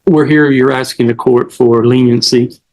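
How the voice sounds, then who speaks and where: A man questioning witnesses as a defense attorney in a courtroom, halting and measured. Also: mid-range voice, fairly even intonation, a little mellow.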